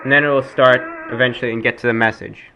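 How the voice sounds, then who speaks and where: A man's voice: medium pitch, fairly even intonation, fast talker, music in the background, poor audio. A man hosting an informal radio-style show, speaking like an announcer with emphatic delivery. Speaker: show host.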